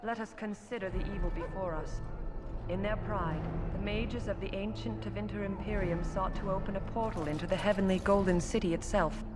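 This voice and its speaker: Woman acting pious game priestess, solemn preaching, grave oratory, mid-pitched female voice. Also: fairly even intonation, a little fast, music in the background, natural voice. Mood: solemn exhortation. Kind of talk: fantasy game sermon.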